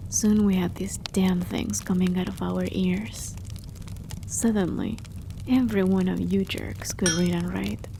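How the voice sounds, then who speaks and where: A woman playing a haughty, jaded immortal warrior in an ASMR roleplay, softly spoken and often near a whisper, with sardonic, conversational storytelling. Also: lowish voice, noisy background. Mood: sardonic, grudging. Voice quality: soft, breathy, often dropping to a near whisper. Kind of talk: ASMR roleplay, dramatic monologue.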